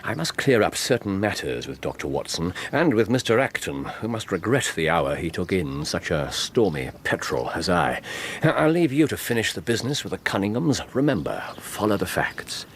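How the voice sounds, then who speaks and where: An actor playing a brilliant, self-assured English detective in a radio drama, precise, lecturing and wry. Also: male voice, sits low, big pitch swings, moderate pace, a little hiss or hum. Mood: wry, courteous.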